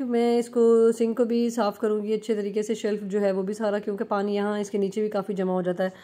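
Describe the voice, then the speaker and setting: A young adult woman chatting through a home cleaning vlog as a relaxed voice-over, full of fillers and restarts. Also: medium pitch. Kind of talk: casual voice-over narration. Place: home kitchen.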